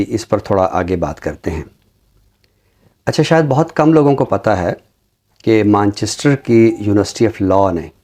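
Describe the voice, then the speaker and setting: A man speaking as an academic guest in a discussion, formal and deliberate, pausing between phrases. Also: lowish voice, a little mellow. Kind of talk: measured studio discussion.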